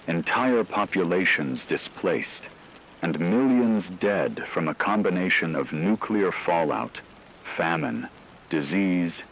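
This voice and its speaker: A man's voice: low-pitched, creaky, fairly bright tone, a little hiss or hum, full character voice, poor audio. A man playing a grave radio anchor who reads scripted war news in a solemn, portentous and formal way. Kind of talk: scripted dramatic news narration.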